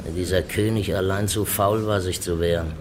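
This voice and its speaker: An actor playing a shrewd onlooker at a trial, making wry, knowing remarks. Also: male voice, deep voice, steady tone, moderate pace, darkish tone, slight background noise, lightly acted.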